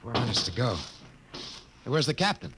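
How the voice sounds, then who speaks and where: An actor playing an uneasy, questioning junior lieutenant aboard a spaceship in a 1950s radio drama. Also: male voice, medium pitch, sing-song, a little fast, gravelly. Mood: nervous. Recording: noisy 1950s radio recording.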